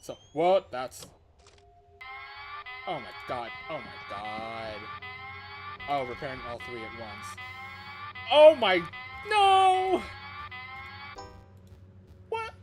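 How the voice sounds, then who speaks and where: A man giving live, excitable gaming commentary as he plays, thinking aloud as he goes. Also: medium pitch, very slow, pauses often, over music. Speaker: livestreamer playing a fangame.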